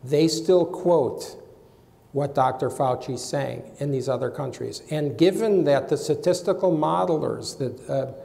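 A man on a panel makes his case insistently, doubling words as he gets going. His voice is gravelly and mellow, and it carries a long hall reverb. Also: fairly high pitch, measured pace. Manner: emphatic, insistent, repeats words when starting. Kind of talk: panel discussion argument.

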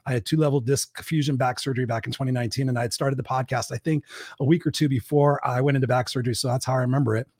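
A hoarse man hosts his live podcast while fighting a cold, driving his points home like a pep talk. He talks at a rapid clip. The sound is close and dry, with no room around it. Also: medium pitch, no room sound. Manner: fast, energetic pep-talk delivery. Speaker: podcast host.

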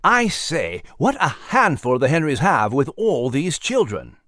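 A woman's voice: low-pitched, very animated, a little slow, fairly bright tone, dry recording, studio quality, full character voice. A woman voicing a genteel, jovial male animal in an audiobook, with pompous, old-fashioned politeness. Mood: amused, courtly. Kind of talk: audiobook character voice.

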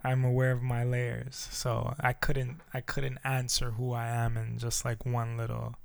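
A man hosting his own podcast in a relaxed, casual chat, with lots of fillers and loose phrasing. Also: mid-range voice, unhurried, vocal fry, no room sound, studio quality. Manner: laid-back, filler-heavy, says "touch base" a lot. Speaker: podcast host.

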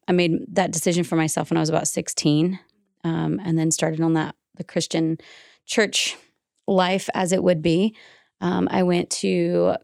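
Chatty woman with low, creaky voice. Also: darkish tone, no room sound, studio quality. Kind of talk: casual chat.

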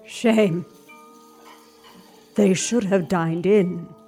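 An actress playing an oddly formal, eerie diner cashier in audio fiction, with a stilted manner. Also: female voice, fairly low pitch, extremely slow, creaky, warm tone, husky, soft background music, heavily acted. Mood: quietly ominous.

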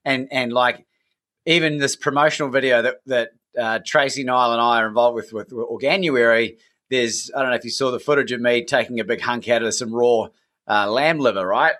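A man hosting an interview, relaxed and joking, often repeating words as he talks. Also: medium pitch, a little fast. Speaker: host. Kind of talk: casual interview chat.

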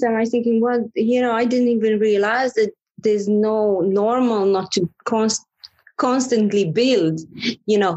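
A woman's voice: medium pitch, darkish tone, dull audio. A middle-aged woman from Romania with a Romanian accent, talking as a podcast guest in an earnest, thoughtful way with frequent restarts. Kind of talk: reflective conversation.

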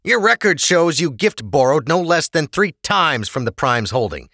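A man's voice: on the high side, very animated, moderate pace, gravelly, pushed and bright, dry recording, voice pushed up, full character voice. A man voicing stern, scornful prison guards, raising his voice into a harsh, scolding tone.

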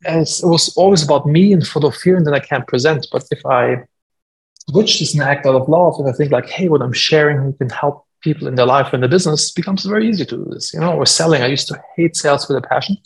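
A man's voice: on the high side, fast talker, rough recording. A man in his forties with a German accent, a guest entrepreneur talking casually on a podcast, full of fillers. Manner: conversational, frequent fillers, self-deprecating.

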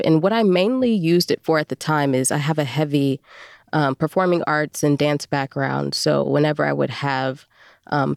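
A woman's voice: low voice, darkish tone, smooth, dry recording, clean studio sound. A woman speaking casually as an interview guest, a voice performer telling her story.

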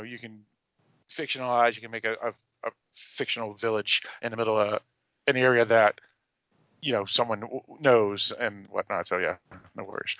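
A man with an American accent hosting a casual discussion podcast about a TV show, speaking in a rambling, halting way with repeated words. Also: medium pitch, clean tone, dry recording, highs cut off. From Massachusetts, United States. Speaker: podcast host.

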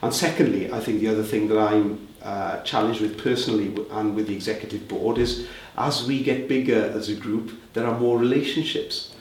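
A man's voice: fairly low pitch, fairly even intonation, fairly quick, hardly any pauses. A Welsh man heading a reseller group, answering interview questions in a conversational way with fillers and restarts. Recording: roomy, distant mic with faint ambience.

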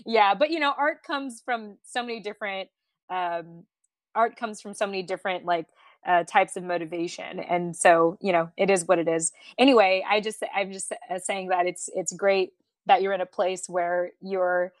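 A woman's voice: medium pitch, moderate pace, no echo. A woman hosting a podcast, chatting in an agreeable, reflective way with small restarts. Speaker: podcast host. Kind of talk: casual chat.

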